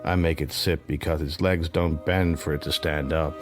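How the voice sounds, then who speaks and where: A haunted, introspective man, confiding his thoughts in intimate radio drama narration. The voice is extremely deep, with music playing underneath. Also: fairly even intonation, moderate pace. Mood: numb, grieving.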